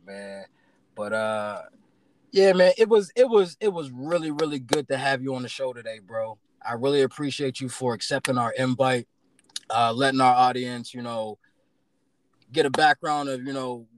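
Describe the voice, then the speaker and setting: A man's voice: mid-range voice, low-quality recording. A man hosting a podcast in casual interview chat, laid-back and full of fillers. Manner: laid-back, many fillers and "you know what I'm saying". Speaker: podcast host.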